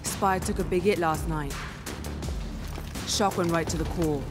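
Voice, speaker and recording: A woman's voice: fairly low pitch, unhurried, natural voice. A woman voicing a hard, terse gang leader in a grim video game cutscene. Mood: grim, resolute. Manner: terse, commanding. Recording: music bed under the voice.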